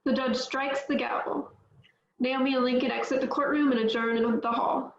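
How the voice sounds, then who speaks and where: A woman reading stage directions aloud at a table read, plain and even. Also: high voice, normal speed, reverberant, dull audio.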